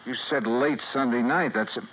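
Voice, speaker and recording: A man's voice: mid-range voice, moderate pace, a little hiss or hum, lightly acted. An American man playing a hot-tempered freelance investigator in an old radio detective drama, with a clipped, terse delivery. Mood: tense. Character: hard-boiled, hot-tempered male freelance insurance investigator. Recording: muffled old radio broadcast, music behind.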